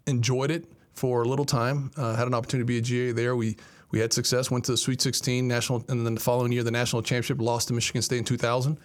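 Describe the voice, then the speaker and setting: A man's voice: mid-range voice, flat delivery, rapid-fire. A man in his forties from Miami, a college athletics director, telling his story conversationally as an interview guest. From Miami, Florida, United States. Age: 40s.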